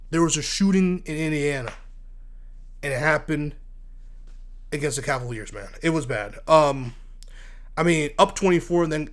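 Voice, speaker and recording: Stuffy-voiced male sports talker, slightly high, blunt, animated. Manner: blunt, animated, repeats words when worked up. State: congested from asthma and allergies. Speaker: sports commentary host. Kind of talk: casual solo sports talk.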